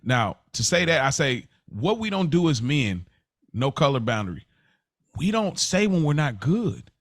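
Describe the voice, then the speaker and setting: Animated man with widely swinging pitch. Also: measured pace, no echo, clean studio sound. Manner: animated, informal, repeats phrases for emphasis. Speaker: podcast co-host. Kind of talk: casual, unscripted podcast conversation.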